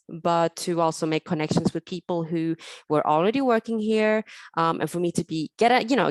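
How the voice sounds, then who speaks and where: A young woman with a lowish voice gives a guest talk for an online student event. She thinks aloud as she goes, filling pauses with ums and restarting phrases. The sound is dry and close, with no room around it. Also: no echo, low-quality recording. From Sweden. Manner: hesitant, many ums and restarts. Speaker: guest speaker.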